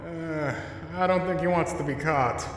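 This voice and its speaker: A man's voice: on the high side, measured pace, natural voice. A man voicing a wry, protective, laid-back skeleton brother in a dramatic comic dub, casual and given to dry understatement. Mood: wry, reluctant. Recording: echoey hall reverb, faint music.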